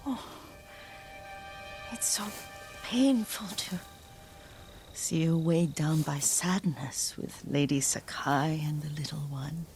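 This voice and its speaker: Slow, soft-voiced elderly lady. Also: low voice, music in the background. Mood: faint, confused. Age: older. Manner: slow, tender, reflective. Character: elderly, gentle, wistful woman, devoted caretaker to a lord. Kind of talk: dramatic video game acting.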